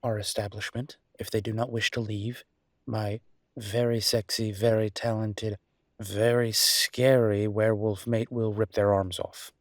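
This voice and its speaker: A man playing an easygoing, teasing chef boyfriend in an intimate audio roleplay, chatting with affectionate, playful exasperation in a husky voice. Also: on the low side, little pitch movement, a little slow, no room sound, clean studio sound, natural voice. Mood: playful, coaxing. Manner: conversational, playful, exasperated banter.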